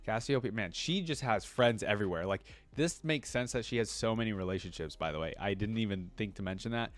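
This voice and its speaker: A man hosting a reaction video, reading character lore aloud and breaking off into casual, excited comments. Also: fairly low pitch, brisk. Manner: reads wiki text then breaks into excited asides. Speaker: reaction channel host.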